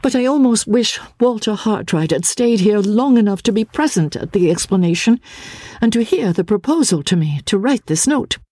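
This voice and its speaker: A woman voicing a resolute but anxious Victorian gentlewoman in a dramatic audiobook reading, earnest and vehement. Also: mid-range voice, animated, moderate pace, no echo, studio quality, voice pushed up, lightly acted. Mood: uneasy, wistful.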